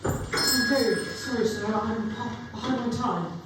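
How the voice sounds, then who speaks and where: A woman's voice: a little high, a little slow, lightly acted. A woman playing a meek, apologetic male Victorian clerk in a dramatic stage reading. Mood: nervous. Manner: deferential, apologetic. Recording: echoing hall, distant mic, faint noise.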